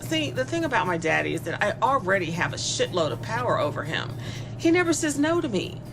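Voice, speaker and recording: A woman's voice: mid-range voice, very animated, brisk, low-quality recording. Spoiled young female killer for horror audio fiction played by an actress: flippant, gloating, menacing, over a music bed. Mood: smug, flippant. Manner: sardonic, flippant, casually menacing.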